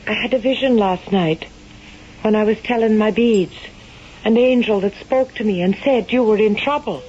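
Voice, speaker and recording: An actress playing a devout young daughter in an old-time radio drama, earnest and hesitant. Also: female voice, medium pitch, moderate pace, darkish tone, lightly acted. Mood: earnest, pleading. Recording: muffled radio playback with vehicle noise.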